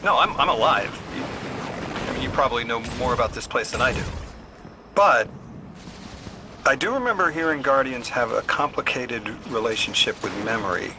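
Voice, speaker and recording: A male actor playing an earnest, bookish amnesiac translator in a video game, halting and apologetic. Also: mid-range voice, expressive, noisy background, close to an everyday voice. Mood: subdued, thoughtful. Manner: halting, apologetic, repeats words when flustered. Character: earnest, flustered, bookish amnesiac man from Earth acting as translator. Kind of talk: naturalistic video game voice acting. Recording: music behind the voice.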